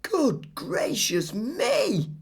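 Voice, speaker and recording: A woman's voice: high voice, wildly swooping pitch, very slow, gravelly, pitched above the performer's own voice, full character voice. A woman voicing a curious, easily astonished child in a storybook, with wide-eyed, exaggerated delivery. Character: astonished, curious young school friends in a storybook.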